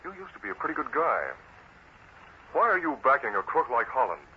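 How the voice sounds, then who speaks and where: An actor playing a stern, commanding masked vigilante in an old radio drama, speaking tersely with cool menace. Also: male voice, medium pitch, very animated, brisk, natural voice. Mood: stern, probing. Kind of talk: 1940s radio drama acting. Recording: noisy, muffled old radio recording.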